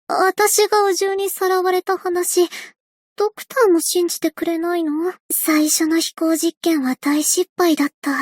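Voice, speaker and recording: High soft young flyer girl, calm n kind, reassuring, super dry booth sound. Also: dry recording. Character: gentle young woman aviator, calm and kind. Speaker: game character voice lines. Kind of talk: anime-style game voice acting.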